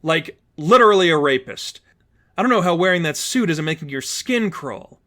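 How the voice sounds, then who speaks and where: A cocky young superheroine from a storybook reading, played by a man, talks in a raised pitch. She is breezy and sarcastic, tossing out gleeful wisecracks in a chatty way. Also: lively intonation, normal speed, a bit bright. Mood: sardonic.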